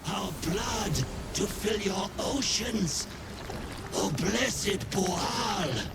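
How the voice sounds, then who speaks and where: An actor playing a fervent fish-folk zealot in a fantasy game, declaiming to a god with reverence. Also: male voice, sits high, drawn-out words, very noisy, full character voice. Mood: devout fervour. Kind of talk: chanted fantasy game acting.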